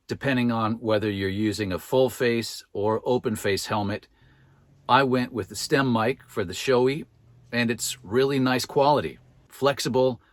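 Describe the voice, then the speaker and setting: A man presenting a product review as scripted narration, with measured, clearly phrased delivery. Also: medium pitch, fairly bright tone, no echo, studio quality. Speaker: product reviewer and channel host.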